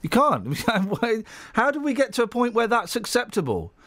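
A male radio presenter chatting bluntly into a studio mic, his pitch moving through a lively range. The sound is dry and close. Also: normal speed, dry recording. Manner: blunt and chatty, with frequent restarts. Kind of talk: casual radio chat.

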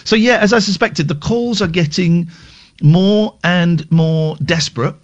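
A man hosting a late-night radio phone-in, rambling casually and cracking crude deadpan jokes. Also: high voice, a little slow, lo-fi sound. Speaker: radio host.

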